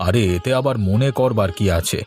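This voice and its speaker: Self-assured, prosperous cartoon village trader played by a man, bossy edge, lower register, light music underneath. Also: moderate pace, darkish tone, lightly acted. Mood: self-satisfied. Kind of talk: Bengali cartoon dialogue.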